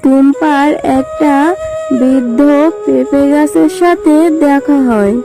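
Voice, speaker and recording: A woman's voice: sits high, slow, vocal fry. A woman reading scripted storytelling narration for a cartoon, with music behind her.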